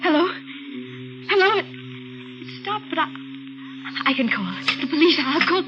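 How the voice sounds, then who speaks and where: An actress playing a frightened young woman trapped by a killer in a 1950s radio melodrama, halting and anxious. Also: very high-pitched, sing-song, heavily acted. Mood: frightened, dawning suspicion. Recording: muffled old radio recording with music.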